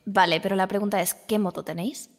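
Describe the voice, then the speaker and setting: A woman's voice: medium pitch, fairly even intonation, fast, vocal fry. A woman playing a teasing, sceptical woman in an improvised online game roleplay, casual and wry. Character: teasing, sceptical woman named Lola, courted by two suitors.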